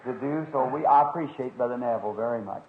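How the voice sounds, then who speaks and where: A hoarse man preaching a sermon in a folksy, earnest way. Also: mid-range voice, brisk. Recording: lo-fi noisy old tape, roomy.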